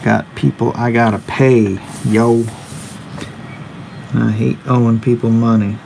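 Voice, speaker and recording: Gravelly man in his forties, casual streamer. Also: medium pitch, flat delivery, slow pace, a little mellow, slight background noise, poor audio. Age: 40s. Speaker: comic artist hosting a live drawing stream. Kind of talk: casual livestream chat, reading and answering viewer comments. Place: indoors at a drawing desk.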